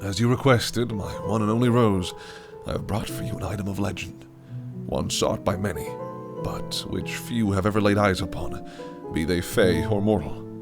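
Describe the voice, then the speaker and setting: An actor playing a possessive, scheming fae prince who speaks in silky, archaic phrases that can turn menacing. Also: male voice, lowish voice, normal speed, vocal fry, over music. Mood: proud. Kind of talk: audio roleplay acting.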